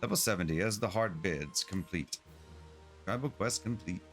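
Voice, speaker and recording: A man streaming a game, reading its dialogue and on-screen text aloud in a plain, unhurried way. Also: low voice, music in the background.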